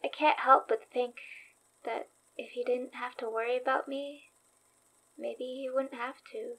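A woman playing an earnest, anxious, loyal young store worker in a naturalistic horror audio drama, speaking with a hesitant, halting manner. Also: sits high, steady tone, fairly bright tone, exaggerated voice, poor audio. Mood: guilty, subdued.

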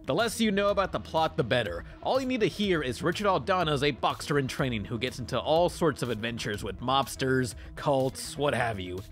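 A man's voice: medium pitch, a bit bright. A man narrating a scripted review as host, in a sarcastic manner.

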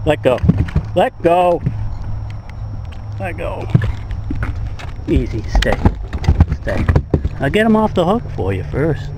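A man in his forties talks excitedly into a close action camera while out on a small boat, cheering his dog and showing off fish. His voice sits a bit high for a man. Clear wind and water noise run behind him. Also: takes it slowly, long pauses. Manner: excited, chatty, praising the dog with laughs. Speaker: fishing vlogger. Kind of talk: casual outdoor commentary to camera and dog. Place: small fishing boat on open water outdoors. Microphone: action camera at close range.

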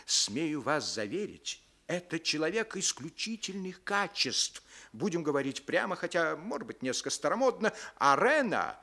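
An unctuous newspaper editor in a 1960s radio play. His fairly high voice rises and falls widely through florid, pompous appeals that carry a veiled menace. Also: very animated, moderate pace, bright, forceful tone, heavily acted. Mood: wounded, pompous. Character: unctuous, indignant Sicilian newspaper editor defending a mafia boss. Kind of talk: 1960s Soviet radio drama acting.

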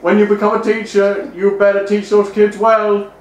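A man voicing a silly high-voiced guest who rambles absurd nonsense and swears. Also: completely flat delivery, moderate pace, lightly acted. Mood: mock-serious. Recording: echoey room, distant mic.

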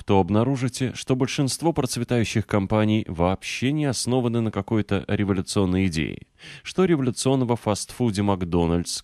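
A man narrating an audiobook in a measured, clearly articulated scripted reading. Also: low-pitched, a little mellow, no room sound, clean studio sound.

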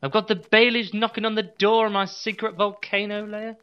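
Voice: medium pitch, level tone, moderate pace, smooth, lo-fi sound, close to an everyday voice. An actor playing a weary, self-pitying evil-genius doctor in a comedy audio drama, grumbling with resigned melodrama.

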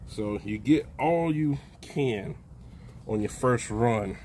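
An older man giving a relaxed, folksy how-to narration outdoors in a backyard while he works with his hands. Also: medium pitch, slow, slight background noise. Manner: folksy, relaxed, talks while plucking, calls out "baby". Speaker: backyard homesteader. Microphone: phone camera held close, hands-on filming.

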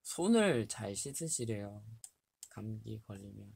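Young man, low gravelly voice, dry close sound, casual livestream chat, small indoor room, desk webcam. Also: big pitch swings, unhurried, darkish tone, no echo. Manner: chatty, reads advice aloud, laughs often. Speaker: livestream host. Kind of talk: casual live chat with fans. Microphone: webcam at desk distance.

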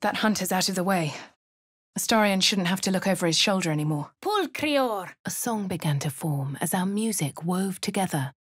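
A woman with a posh English accent speaking a game hero's lines and first-person narration in a measured way. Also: on the low side, animated, husky, dry recording, clean studio sound. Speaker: player character voice. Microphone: studio mic.

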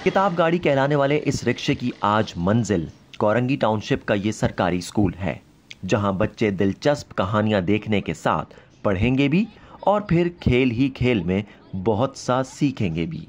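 A man narrating a scripted news report in a measured, formal voice. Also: on the low side, animated.

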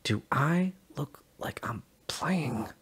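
A man voicing a wary male cargo hauler who keeps his voice to a hushed whisper. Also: medium pitch, animated, takes it slowly, slightly rough, pitched above the performer's own voice, exaggerated voice.

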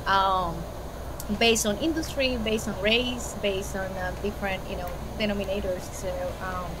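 A woman in her thirties with a Puerto Rican accent, a podcast guest chatting on a video call from home, lively and full of fillers. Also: mid-range voice, moderate pace, background noise. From Puerto Rico. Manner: lively, laughs often, many fillers. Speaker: guest, small business owner. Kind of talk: casual interview on a video call. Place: home room with bookshelves.

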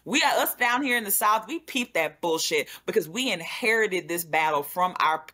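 A woman's voice: lowish voice, expressive, normal speed, bright, forceful tone. A young woman from Louisiana talking politics on a video call, emphatic and passionate, hammering points by repetition. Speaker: panel guest. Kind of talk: casual political chat. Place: living room at home.

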